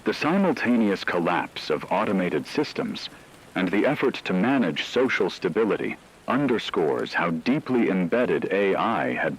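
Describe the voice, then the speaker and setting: A man voicing a grave radio news anchor in a dystopian crisis broadcast, reading scripted news in a measured, solemn, husky way. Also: low voice, full character voice, low-quality recording.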